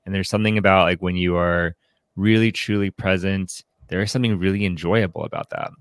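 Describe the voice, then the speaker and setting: A man co-hosting a podcast in a casual, reflective book discussion, haltingly repeating his words as he thinks. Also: low voice, smooth, no room sound, clean studio sound. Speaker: podcast co-host.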